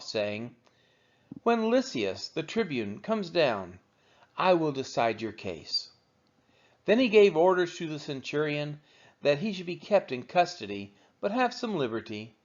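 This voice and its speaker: A man reading the Bible aloud as scripted narration, in a measured, expressive oratorical manner with deliberate phrasing. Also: on the high side, highs cut off. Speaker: scripture reader of a daily read-along.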